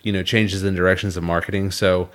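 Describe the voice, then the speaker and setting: A young man with a scratchy throat, co-hosting a casual podcast and explaining things in a measured way. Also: low-pitched, level tone. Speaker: podcast co-host.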